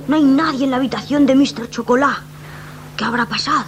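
Dramatic woman radio narrator, highish voice. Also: a little fast, a little hiss or hum.

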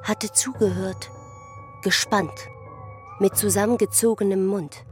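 Slow, measured woman narrator over music. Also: mid-range voice, steady tone. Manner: measured, broken into short phrases. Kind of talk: literary radio drama narration.

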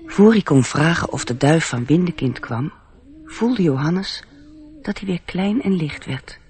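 An actor as the gentle narrator of a fairy tale in a radio play, telling it in storybook style. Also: male voice, fairly high pitch, lively intonation, a little slow. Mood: lyrical.

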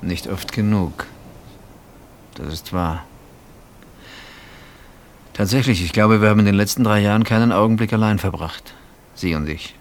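An actor playing a polite, restrained husband with a courteous, formal, slightly ironic manner in a German radio play. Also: male voice, on the low side, normal speed, long pauses, natural voice. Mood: wistful, probing. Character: polite, restrained husband receiving his sister-in-law. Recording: radio play with clear background noise.

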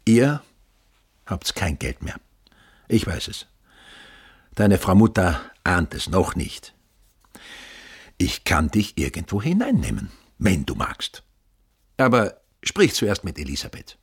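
An actor playing a blunt middle-aged businessman, his voice husky. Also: low-pitched, huge pitch swings, takes it slowly, long pauses, heavily acted. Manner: blunt and matter-of-fact. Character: blunt older male businessman, father-in-law. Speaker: actor in radio drama. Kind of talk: radio drama acting.

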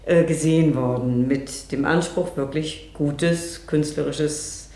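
Female theatre director, interview; lowish voice, articulate and reflective, roomy echo. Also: steady tone, takes it slowly, mellow. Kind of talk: interview, recounting her work.

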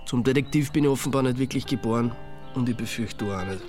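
Schauspieler als trockener junger österreichischer Hobbydetektiv, mit Musik. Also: male voice, medium pitch, fast, a little mellow, faint music behind, natural voice. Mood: resigned, wry. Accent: Austrian. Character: wry, resigned young amateur sleuth. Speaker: radio drama actor, lead part. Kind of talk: naturalistic radio drama dialogue.

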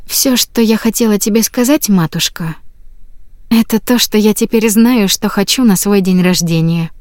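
A woman voicing an earnest, determined teenage girl in an audiobook, with a gentle, pleading manner. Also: medium pitch, normal speed, no room sound, clean studio sound, close to an everyday voice. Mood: careful, hopeful. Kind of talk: audiobook character voice.

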